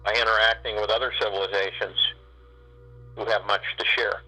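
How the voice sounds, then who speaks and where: A low-voiced man with a husky, sharp-edged tone leads a guided spiritual meditation. He lets each phrase stretch out slowly, pausing often between the words. Soft music plays faintly underneath. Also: little pitch movement, rough recording. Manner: slow, drawn-out phrasing with long pauses.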